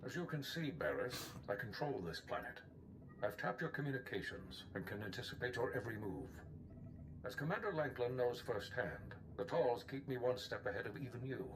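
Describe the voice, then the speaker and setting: An actor playing a haughty, menacing Jedi master in a cinematic video game, speaking with deliberate, commanding contempt. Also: male voice, medium pitch, sing-song, background noise, natural voice. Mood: smug.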